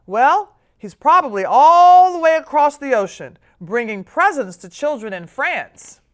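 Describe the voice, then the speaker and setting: A woman's voice: high voice, sing-song, unhurried, pitched above the performer's own voice. A woman voicing a jovial, worldly old grandfather in a children's storybook, hearty and affectionate.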